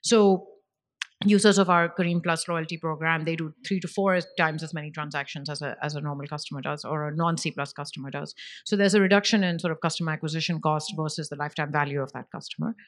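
A woman's voice: sits low, steady tone, fast. A woman speaking as a business panelist, explaining things in a structured, point-by-point way. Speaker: panelist, app company executive.

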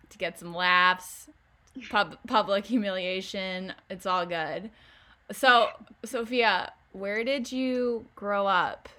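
A woman's voice: medium pitch, pushed and bright, smooth. A woman hosting a casual podcast interview, chatty and playful, laughing between her questions. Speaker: podcast host.

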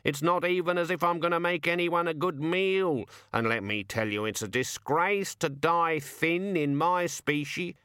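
A man's voice: fairly high pitch, measured pace, hardly any pauses, sharp tone, smooth, dry recording, studio quality, pitched above the performer's own voice. A man voicing a grumbling, self-pitying talking raven in a storybook reading. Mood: gloomy. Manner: whining, gloomy complaining.

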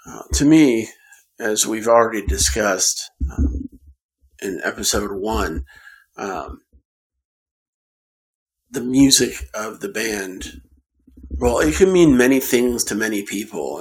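A man hosting a conversational interview, speaking in a measured, reflective way with halting phrasing. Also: mid-range voice, rough recording. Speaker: podcast host interviewing a guest.

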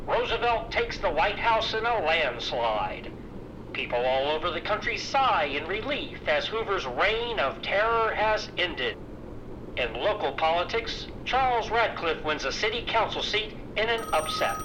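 A man's voice: high-pitched, big pitch swings, unhurried. A man playing an emphatic 1930s radio newsreader through an old radio filter in an audio drama. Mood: urgent, dramatic. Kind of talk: 1930s radio news announcing. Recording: dull, noisy vintage radio sound.

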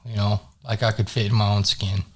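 A low-pitched man in his thirties, from North Carolina, speaks as a studio podcast guest. He talks in a relaxed, halting way, leaning on fillers as he recounts his past. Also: husky. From grew up in North Carolina. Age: 30s. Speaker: guest in recovery. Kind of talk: casual interview.

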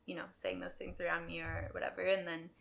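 Young woman guest, low gravelly voice, candid chatty storytelling, laughs a lot. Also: little pitch movement, quick pace, a bit bright. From Quebec, Canada. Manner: candid, laughs often, swears casually, many fillers. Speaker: podcast guest, hairstylist. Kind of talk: casual conversational storytelling.

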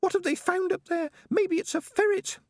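A man's voice: extremely high pitch, fairly even intonation, moderate pace, dry recording, studio quality, voice pushed up. A man voicing an earnest, worried young female rat with an urgent, questioning manner in an audiobook story.

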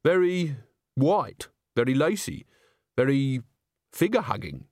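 A man's voice: mid-range voice, unhurried, no echo, studio quality. A man voicing a polite, weary young woman in a storybook, hesitant and drily unimpressed. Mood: tired, glum. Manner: hesitant, deadpan.

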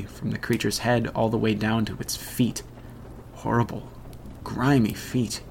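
A man's voice: lowish voice, vocal fry, background noise. An actor playing a haunted, regretful man who solemnly narrates a horror audio drama about his teenage past. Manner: deliberate, solemn, ominous storytelling.

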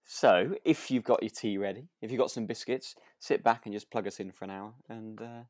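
A British man co-hosting a podcast in relaxed, conversational chat, agreeable and tentative in his phrasing. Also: fairly low pitch, brisk, no room sound, clean studio sound. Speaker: podcast co-host. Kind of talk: relaxed casual chat about a radio drama.

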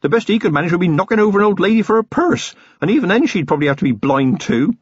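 A man voicing an eager young male constable who talks bluntly in rough working-class speech, raised high. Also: sing-song, quick pace, dry recording, lo-fi sound, heavily acted. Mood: zealous.

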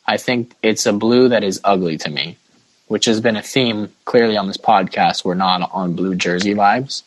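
A man's voice: on the low side, moderate pace, rough recording. A man hosting a casual sports podcast, chatting and steering the discussion. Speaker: podcast host.

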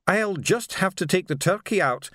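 A man's voice: high voice, expressive, normal speed, a bit bright, dry recording, clean studio sound, pitched above the performer's own voice. A man doing a flustered doctor. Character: flustered middle-aged male doctor.

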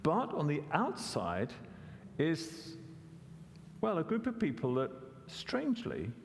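A man's voice: fairly high pitch, huge pitch swings, takes it slowly, creaky, slight background noise. Man preaching in a reverberant hall. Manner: conversational, asks questions, self-corrects with repeats. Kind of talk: expository sermon.

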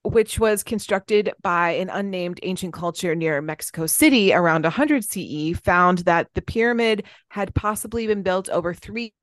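A woman's voice: lowish voice, moderate pace, few pauses, no room sound. A woman co-hosting a podcast, reading researched notes in an informal way and chatting casually between them. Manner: informal, stumbles over foreign names. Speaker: podcast co-host.

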